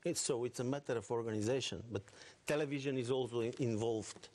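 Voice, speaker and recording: A man's voice: medium pitch, quick pace, darkish tone. A man asking short questions as a television interviewer.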